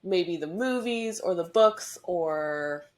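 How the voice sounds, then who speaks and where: A woman's voice: fairly low pitch, lively intonation, takes it slowly. A woman hosting a podcast, chatting in a bubbly, rambling way. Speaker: podcast host. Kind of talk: casual interview chat.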